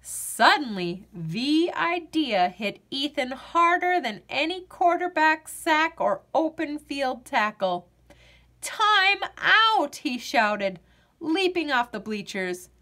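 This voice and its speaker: Slow, expressive woman reading a picture book. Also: high voice. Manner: expressive, storytelling. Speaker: children's story reader. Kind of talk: picture book read-aloud.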